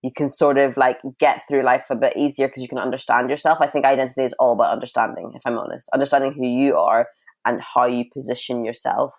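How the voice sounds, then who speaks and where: A woman's voice: extremely low pitch, steady tone, fast talker. A woman in her thirties, from Sudan and raised in Northern Ireland and Scotland, with an international accent, chatting freely as an interview guest who laughs often. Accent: international mix of Northern Irish and Scottish. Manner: chatty, laughs often, self-described talker. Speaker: guest, writer and filmmaker. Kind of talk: casual interview talk.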